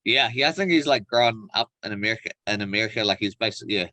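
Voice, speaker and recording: A man's voice: fairly low pitch, animated, fast talker, bright, forceful tone, no room sound. Laid-back New Zealand man, dry close sound. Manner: laid-back slangy phrasing, frequent "like" and "bro". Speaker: professional MMA fighter being interviewed. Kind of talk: casual interview chat.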